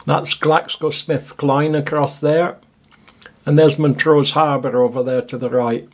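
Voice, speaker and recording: A man's voice: fairly high pitch, little pitch movement, a little slow. Chatty, wry man musing over his footage. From Scotland. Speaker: vlogger narrating his own footage. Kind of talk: casual voice-over commentary.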